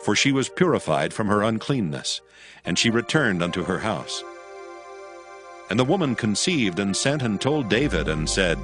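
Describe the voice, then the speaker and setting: A male narrator reads scripture in a low voice. His delivery is formal, measured and solemn, with music playing behind him. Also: big pitch swings.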